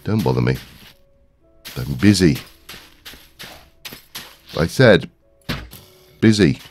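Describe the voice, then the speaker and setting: A man's voice: sits low, lively intonation, slow pace, soft background music. A man with a stuffy head cold, hosting a gaming video with casual, rambling commentary and lots of ums. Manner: rambling, talks to himself while searching, many ums.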